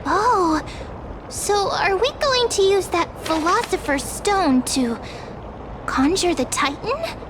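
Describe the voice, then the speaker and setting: A woman's voice: very high-pitched, animated, slow pace, noisy background, heavily acted. A woman voicing a tiny, cute, eager magical companion creature with a childlike manner in a video game. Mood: curious.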